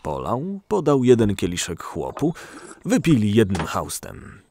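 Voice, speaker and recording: A man's voice: fairly low pitch, wildly swooping pitch, a little slow, dark tone, a little hiss or hum. A man narrating a story in a scripted storytelling style for an audio drama. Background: music.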